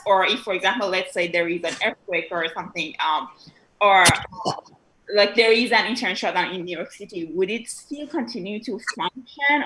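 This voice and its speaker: A woman's voice: mid-range voice, a bit bright, a little hiss or hum, rough recording. A woman from Iran, an attendee in an online meetup, asking a question with many fillers and restarts over a video call. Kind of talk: casual question in an online meeting.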